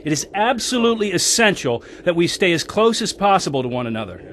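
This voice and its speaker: A man's voice: sits high, sing-song, fast talker, a bit bright, slight background noise. An actor playing a confident ski instructor and adventurer who tells his own life story in a radio drama. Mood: urgent, commanding. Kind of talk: radio drama acting and first-person narration.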